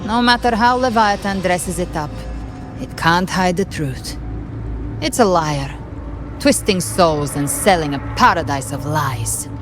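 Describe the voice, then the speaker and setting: A woman playing a solemn, knowing guide of lost souls in a fantasy game, speaking in a calm, measured way. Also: on the low side, music in the background. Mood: firm. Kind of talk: dramatic fantasy game acting.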